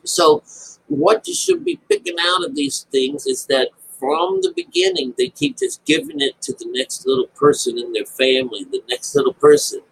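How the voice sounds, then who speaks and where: A man giving an informal spoken Bible commentary as an amateur teacher, rambling and halting, repeating phrases, with folksy, colloquial wording and the odd mild swear word. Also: on the high side, wildly swooping pitch, vocal fry, a little hiss or hum, poor audio.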